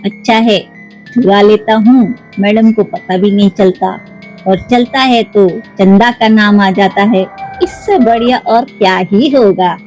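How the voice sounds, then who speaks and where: Woman playing a slow-talking, sly thieving man cook. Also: medium pitch, a little mellow, soft background music, lightly acted. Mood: gloating, sly. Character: sly, greedy thieving male house cook, toy character.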